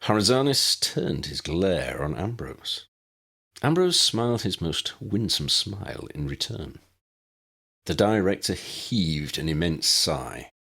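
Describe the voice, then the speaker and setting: A man's voice: low-pitched, sing-song, takes it slowly, vocal fry, a bit bright, breathy edge. A man reading a scripted story aloud as an audiobook narrator.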